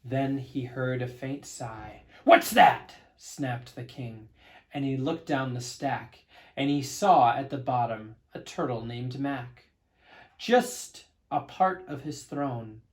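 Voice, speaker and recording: A man reading a children's storybook aloud as narrator, with expressive, dramatic emphasis. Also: mid-range voice, slow pace.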